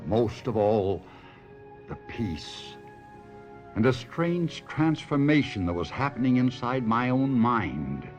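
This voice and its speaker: A man's voice: medium pitch, very animated, slow. An actor playing a devoted but restless husband, a ventriloquist telling his own story in a 1970s radio drama. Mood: reflective. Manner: dramatic, reflective first-person storytelling. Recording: muffled radio broadcast, music behind.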